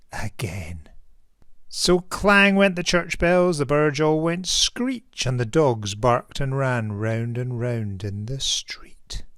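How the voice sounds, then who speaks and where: A man narrates a rhyming picture book for children at an unhurried pace. His delivery is theatrical and emphatic, with careful measured phrasing. The sound is close and dry. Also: medium pitch, dry recording. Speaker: children's author reading own picture book. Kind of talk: expressive rhyming storybook narration.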